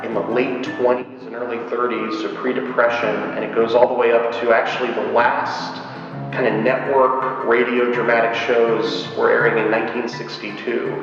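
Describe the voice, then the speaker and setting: Man, gravelly voice, casual explainer, distant mic, long hall reverb. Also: medium pitch. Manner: casual, hesitant starts. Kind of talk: explaining history in an interview. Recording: dull, distant, echoey hall.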